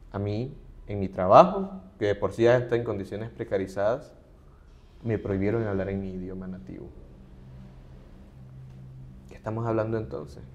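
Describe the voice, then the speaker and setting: Earnest middle-aged male advocate, somewhat low voice, steady explanatory interview delivery. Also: slight background noise. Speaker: human rights advocate, interview guest. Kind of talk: conversational interview.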